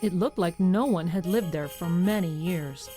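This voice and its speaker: A woman narrating a scripted children's story in a measured way with dramatic pauses. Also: on the low side, mellow, faint music behind.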